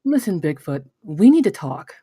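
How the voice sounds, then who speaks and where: Husky, blunt businesswoman read by a woman. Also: fairly low pitch, sing-song, normal speed, warm tone, no room sound, studio quality. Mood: firm. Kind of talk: comedic screenplay table read.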